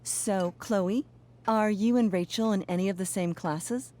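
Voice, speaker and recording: A middle-aged mother voiced by a woman speaks in a medium-pitched voice. She is gracious, gentle and proper, a courteous dinner hostess in a naturalistic game drama. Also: measured pace, close to an everyday voice. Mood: concerned. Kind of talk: naturalistic video game acting.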